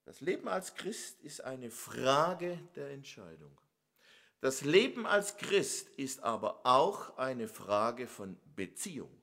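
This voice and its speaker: Slow-speaking, bright-voiced Swabian preacher. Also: male voice, mid-range voice, very animated, gravelly. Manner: emphatic, asks the audience rhetorical questions. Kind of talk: sermon.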